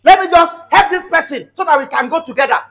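Man playing a shrill, stammering husband. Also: quick pace, vocal fry, fairly bright tone, heavily acted. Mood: defensive. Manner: tag-question "eh?" after nearly every line, stammers. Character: blustering husband caught in a lie. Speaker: husband in a comedy skit.

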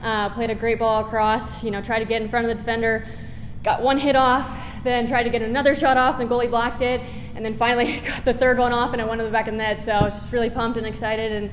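A woman's voice: fairly high pitch, flat delivery, quick pace. A young woman soccer player answering post-match press questions in a casual, upbeat way with many fillers. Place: press conference room. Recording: reverberant room with background noise.

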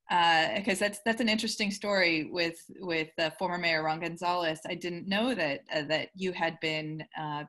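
A woman's voice: fairly low pitch, fairly bright tone. A middle-aged woman moderating on a video call from home, asking long, winding questions with fillers. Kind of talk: interview questions. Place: home room with windows, indoors. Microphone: video call webcam.

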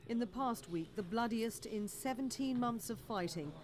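A woman delivering scripted news narration as a voice-over reporter, in a formal, measured way. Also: a little high. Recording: noisy track with crowd sound. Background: crowd and street ambience under the voice-over.

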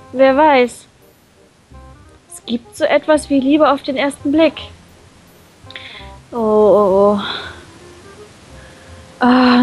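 High-voiced, slow-paced woman reading aloud. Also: darkish tone, low-quality recording. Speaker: co-reader in a group visual novel let's play. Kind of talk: casual read-aloud narration of a visual novel. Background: background game music.

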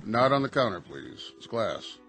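A man's voice: lowish voice, big pitch swings, normal speed, fairly bright tone, faint music behind. An actor playing a polite, cautious male shopkeeper in a radio drama. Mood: wary.

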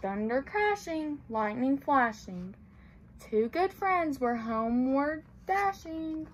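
A young woman with a clearly high voice reads a picture book for young children. She tells it in a sing-song way, talking simply to little listeners. There is faint room noise behind her. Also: big pitch swings, takes it slowly, rough recording. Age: young adult. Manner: sing-song storytelling with simple questions and explanations for small children. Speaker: playschool teacher reading aloud. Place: small tiled indoor room.

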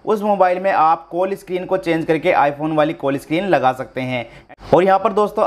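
A man hosting a tech tutorial, explaining phone steps one by one and addressing viewers directly in an instructional way. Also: fairly high pitch. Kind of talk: step-by-step phone tutorial narration.

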